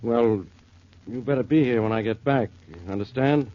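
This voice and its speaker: A man's voice: mid-range voice, normal speed, close to an everyday voice. An American man playing a stubborn, exasperated police official with a blustering, stern manner in 1940s radio drama. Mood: stern warning. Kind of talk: 1940s radio detective acting. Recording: dull 1940s radio broadcast recording.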